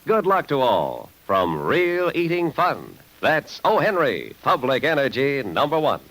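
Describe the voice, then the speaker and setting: A man's voice: mid-range voice, very animated, a little slow, a bit bright, rough recording. A man working as a radio announcer in a polished, upbeat 1950s sponsor style on a vintage broadcast recording.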